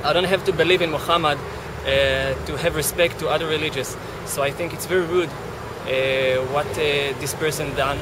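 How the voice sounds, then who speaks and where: A man in his thirties answering street interview questions at night, earnest and halting as he searches for words. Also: a little high, steady tone. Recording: clear street noise behind.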